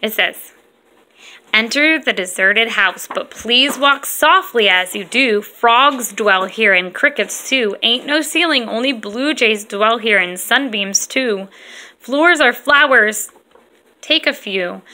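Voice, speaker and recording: A woman, a mother, reading aloud and chatting with young children in an expressive, encouraging way and simple phrasing. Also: on the high side, slow pace. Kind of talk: read-aloud storytelling mixed with casual chat with children.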